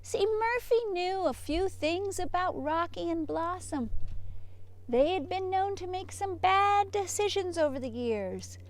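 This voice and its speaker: A woman doing an animated read-aloud for children like a teacher, playful and expressive, with dramatic hushes and emphasis. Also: very high-pitched, a little slow.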